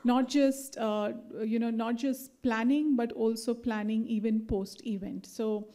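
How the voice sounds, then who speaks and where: A woman's voice: fairly high pitch, fairly even intonation, unhurried, mellow. A woman presenting at a conference, talking loosely with lots of fillers and tag questions like "right?".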